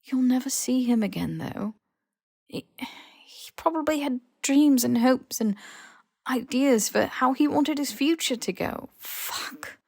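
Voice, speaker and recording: A woman's voice: sits high, expressive, takes it slowly, vocal fry, a little mellow, husky, dry recording, clean studio sound, pitched above the performer's own voice, exaggerated voice. A woman playing a guilt-ridden, defensive young male wizard hero in a story reading. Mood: anguished.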